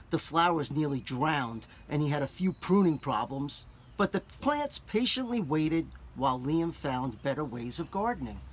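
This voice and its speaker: A man reading a picture book aloud to children, gently stopping to explain words and show things. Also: a little high, slightly rough. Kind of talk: children's read-aloud with asides.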